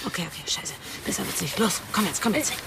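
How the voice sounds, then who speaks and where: An actress in a radio drama playing a foul-mouthed, bossy but protective street prostitute with a tough, slangy manner. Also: female voice, medium pitch, very animated, fast, background noise. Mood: bossy, impatient.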